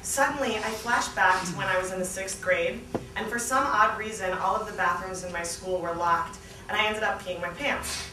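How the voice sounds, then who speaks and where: A young adult woman giving an animated, comedic live reading of a memoir to an event, performing the dialogue as she goes. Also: medium pitch, normal speed. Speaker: guest reader at a book launch. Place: small theatre stage at a lectern, indoors. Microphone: distant camera. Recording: roomy, noisy, distant event recording.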